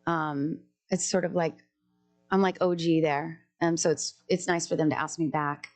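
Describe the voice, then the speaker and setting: A woman with a fairly low voice talks casually as a podcast guest. She is a singer-songwriter who rambles freely, breaks off mid-sentence and starts over. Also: steady tone, normal speed. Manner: chatty, jumps between thoughts, many restarts.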